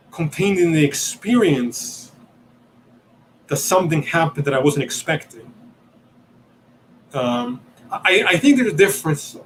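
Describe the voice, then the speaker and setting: A man from Italy whose first language is Italian, hosting a livestream Q&A and reasoning aloud, often pausing and searching for words. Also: fairly high pitch, slight background noise, low-quality recording. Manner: hesitant, searching for words, many ums and restarts. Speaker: podcast host answering questions.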